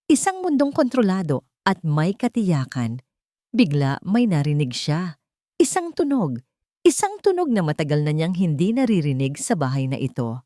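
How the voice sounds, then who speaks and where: A woman narrating a dramatic romance story in a soft, emotive way. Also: lowish voice, no room sound, clean studio sound.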